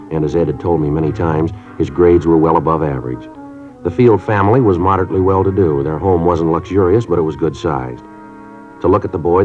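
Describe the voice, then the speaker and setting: Terse detective, very low voice, matter-of-fact, faint music behind, muffled old radio sound. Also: male voice. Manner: clipped, deadpan, matter-of-fact. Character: terse male police detective, middle-aged. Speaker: narrator and detective. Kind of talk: 1950s radio drama acting. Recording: dull lo-fi 1950s radio broadcast.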